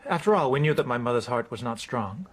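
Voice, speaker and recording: A man's voice: medium pitch, lively intonation, fast talker, slightly rough. An actor playing a subdued, guarded married man answering questions hesitantly in a radio drama. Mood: guarded, uneasy.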